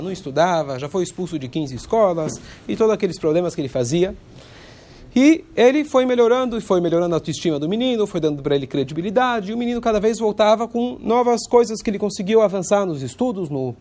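A man, hoarse from a cold, giving an informal religious lesson in a conversational way and pausing now and then to search for words. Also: high voice, expressive, a little mellow.

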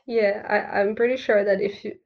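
A woman's voice: medium pitch, steady tone, normal speed, gravelly, lo-fi sound. A young woman from Brazil, a Portuguese speaker, chatting casually as a student guest on a podcast. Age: young adult. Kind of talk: casual chat.

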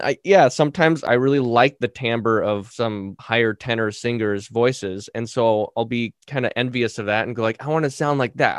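A man who is a musician, talking through his songwriting in a casual podcast chat, thoughtful and searching for words, with a round speaking voice that carries a low resonance. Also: clean tone, no room sound, clean studio sound. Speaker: musician guest.

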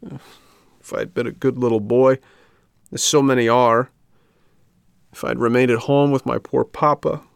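A man's voice: fairly low pitch, slow, vocal fry, fairly bright tone, dry recording, studio quality, exaggerated voice. A man voicing a tearful, remorseful boy puppet in a storybook, with a plaintive, pleading delivery. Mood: self-pitying remorse.

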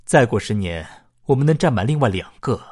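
A man acting in a sci-fi radio drama as a thoughtful, long-lived future human, reasoning emphatically with a slightly husky voice. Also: medium pitch, expressive, a little fast, darkish tone. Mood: earnest, emphatic. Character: far-future man nearly two hundred, youthful, pondering humanity's fate.

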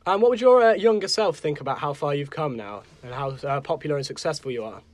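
A male interviewer in a studio speaks fast in a slightly raised voice. He fills his questions with hesitant "uh"s. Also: on the high side, lively intonation, no echo. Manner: hesitant, many "uh" fillers.

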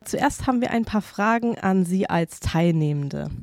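A woman co-hosting a library podcast, asking interview questions in a friendly, measured way. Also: medium pitch, animated, darkish tone, dry recording, clean studio sound.

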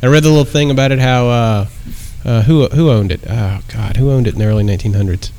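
A man co-hosting a casual podcast, telling stories in a relaxed way with lots of fillers. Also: medium pitch, fast. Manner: relaxed storytelling with many fillers. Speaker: podcast co-host. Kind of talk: casual chat.

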